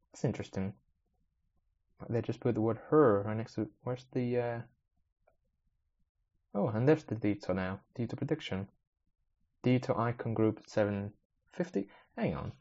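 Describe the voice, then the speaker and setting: A man's voice: on the low side, a little mellow. A man whose first language is Spanish, hosting a casual solo podcast, thinking aloud in a rambling, wry way that often breaks off mid-sentence. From Spanish-speaking country, moved to the UK at age ten. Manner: rambling, self-interrupting, wry. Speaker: podcast host. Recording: slightly dull, lo-fi recording.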